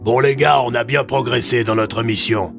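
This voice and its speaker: A man voicing a confident, optimistic army colonel in an audio drama, briskly addressing his men. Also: mid-range voice, gravelly, fairly bright tone, faint music behind. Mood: upbeat briefing.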